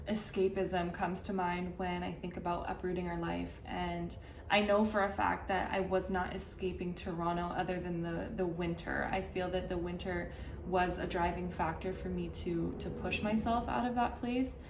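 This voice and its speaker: A woman from California talking earnestly and reflectively in a casual personal vlog, with a gentle motivational tone. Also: lowish voice, slight background noise. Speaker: vlogger. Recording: roomy sound from a distance.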